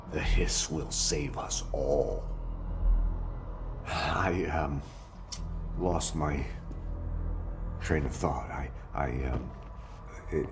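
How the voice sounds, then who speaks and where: An actor playing an unhinged, paranoid agency director in a dramatic video game monologue, halting and haunted, often murmuring. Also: male voice, very deep voice, exaggerated voice. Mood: paranoid, resolved.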